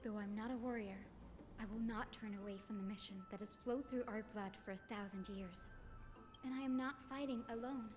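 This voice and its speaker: Woman playing an earnest, high-voiced young healer girl. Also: steady tone, lightly acted. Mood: earnest, resolute. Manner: formal, reverent, measured delivery. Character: earnest, gentle young female healer descended from sky people. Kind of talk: video game voice acting. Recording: game music behind. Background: game soundtrack music.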